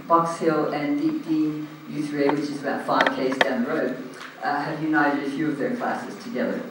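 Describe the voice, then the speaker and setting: An Australian man running a meeting in a big, very echoey hall, heard from some distance away. His voice sits a little high for a man, with faint room hiss underneath. He talks loudly and informally, with lots of ums and uhs. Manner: loud, informal, hesitant with frequent ums. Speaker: meeting chair standing in for the president. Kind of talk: chairing a community meeting. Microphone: handheld microphone.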